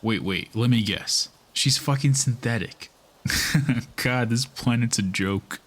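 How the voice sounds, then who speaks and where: A man voicing an excitable, incredulous sidekick in an amateur radio drama, jumpy and profane. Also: medium pitch, takes it slowly.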